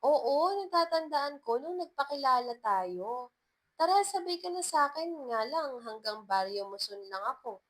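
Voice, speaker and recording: A woman's voice: sits high, expressive, normal speed, voice pushed up. A woman voicing a friendly, helpful female colleague with a kindly, encouraging manner in a love story. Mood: friendly, concerned.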